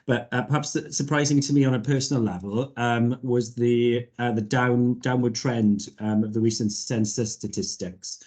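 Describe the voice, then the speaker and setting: A man, a councillor putting a measured question to a committee. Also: mid-range voice, fairly even intonation, a little mellow. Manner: measured, slightly halting.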